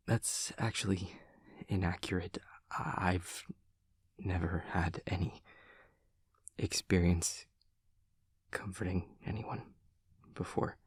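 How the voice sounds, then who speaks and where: A man playing an awkward, earnest young demon classmate, speaking softly and breathily with hesitant stammers and stiffly formal wording in an intimate audio roleplay. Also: low-pitched, steady tone, slow pace, pauses often, dry recording, in a whisper, heavily acted. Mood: unsure, tender. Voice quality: soft, breathy, husky. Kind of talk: intimate audio roleplay, whispery ASMR-like acting.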